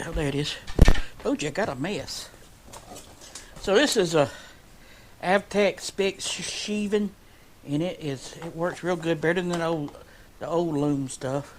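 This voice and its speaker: A folksy vlogger talks through a hands-on motorcycle project in a mid-pitched voice. The delivery is casual and rambling, with loose colloquial grammar and a jokey streak, as an informal progress update from a shed workshop. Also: background noise.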